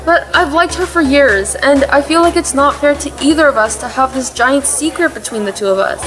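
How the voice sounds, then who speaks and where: A woman's voice: high-pitched, brisk, music in the background, close to an everyday voice. A young woman playing a lovesick, anxious and blunt teenage girl in a contemporary audio play. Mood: anxious confiding. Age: teens to young adult.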